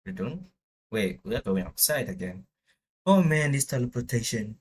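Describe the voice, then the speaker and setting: A man's voice: medium pitch, big pitch swings, normal speed. A man giving casual live commentary as he streams a game. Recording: game music behind.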